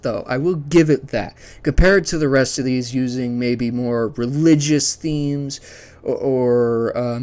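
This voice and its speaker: A man hosting a ranking video in a casual, unscripted way, thinking aloud conversationally and sharing his opinions. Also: medium pitch. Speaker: video host ranking a series list.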